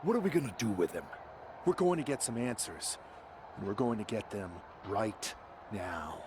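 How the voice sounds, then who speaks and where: A man's voice: on the low side, lively intonation, normal speed, lightly acted. A man playing a stern, threatening figure in a video game cutscene. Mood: stern, impatient. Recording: clear background noise.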